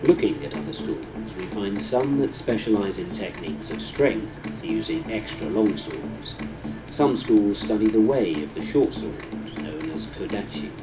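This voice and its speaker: A man reading a book aloud as scripted narration, in a solemn, deliberate, instructive manner. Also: lowish voice, animated, poor audio. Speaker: audiobook narrator. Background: prominent instrumental music.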